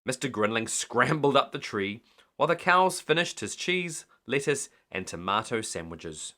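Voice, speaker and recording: A man's voice: medium pitch, very animated, moderate pace, bright, forceful tone. A man reading a children's bedtime story aloud expressively, as a storyteller.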